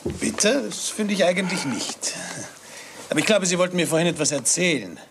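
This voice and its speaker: An actor playing a polite, cultured gentleman murderer in a German radio crime drama. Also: high voice, lively intonation, a little fast, slight background noise. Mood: polite, curious.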